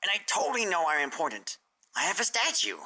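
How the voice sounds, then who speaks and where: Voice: mid-range voice, big pitch swings, quick pace, pushed and bright, voice pushed up. A performer voicing a cocky, defensive young male dragon assistant with a casual, self-important manner. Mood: boastful.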